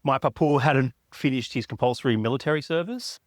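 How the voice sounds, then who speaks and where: An Australian man with a mid-range voice chats as a podcast guest. He tells his family story with quick asides and restarts. The recording is close and dry. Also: mid-range voice, dry recording. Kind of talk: casual storytelling chat.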